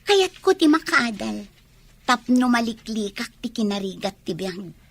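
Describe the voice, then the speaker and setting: A brash young schemer in a radio drama. Her voice is high and husky, swinging up and down with an Ilocano Filipino accent. She plots boldly and dramatically. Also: sing-song, measured pace, exaggerated voice. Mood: scheming. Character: scheming poor young woman after a sugar daddy.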